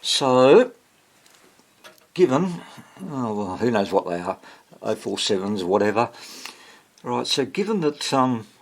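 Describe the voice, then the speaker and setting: A man with a husky voice and plenty of fry talks through a repair at a slow pace. He sounds like a casual hobby video host, working without a script. He hesitates often and asks himself questions as he goes. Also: mid-range voice, very animated. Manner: hesitant, thinking aloud, muttering self-questions. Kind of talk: casual unscripted repair walkthrough.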